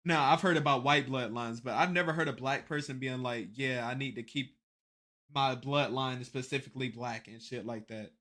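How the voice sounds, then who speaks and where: A man's voice: mid-range voice, fairly even intonation, moderate pace, pushed and bright. A young man giving casual reaction commentary as a streamer, rambling, restarting his words and swearing offhandedly.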